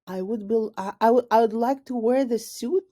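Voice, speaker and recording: An anxious male school principal from a storybook, acted out by a woman reader. He speaks in a raised pitch, hesitating and tripping over his words. He sounds gullible and very eager to please. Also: normal speed, dark tone, lightly acted. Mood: hesitant, hopeful. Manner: hesitant, stammering.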